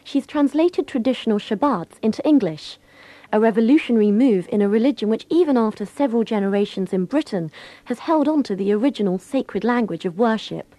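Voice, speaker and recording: Mellow-voiced woman radio presenter. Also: a little high, lively intonation, brisk, dry recording. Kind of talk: scripted documentary narration.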